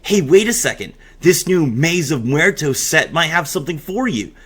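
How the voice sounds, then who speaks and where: A man's voice: on the high side, animated, normal speed, fairly bright tone, breathy edge. A man hosting a card game video, mixing casual commentary with theatrical, emphatic reading aloud.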